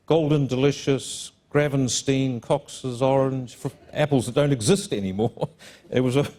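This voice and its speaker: New Zealand male host, conference stage, chatty and jokey, mellow tone. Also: mid-range voice, steady tone, measured pace. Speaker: panel host. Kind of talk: conversational stage interview.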